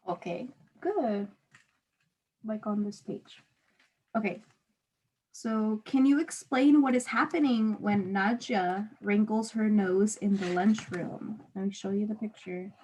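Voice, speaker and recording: A woman teacher with a husky voice reading aloud and patiently putting simple guiding questions to a student. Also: medium pitch, slow pace, mellow, poor audio. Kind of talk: read-aloud and one-on-one comprehension questions.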